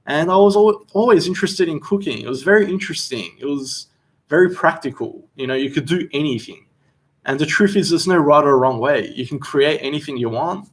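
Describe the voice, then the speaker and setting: A man's voice: a little high, a little fast. Chatty Australian man hosting a livestream. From Western Australia. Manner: relaxed and chatty, reads viewer questions aloud. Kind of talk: casual livestream Q&A. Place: seated indoors.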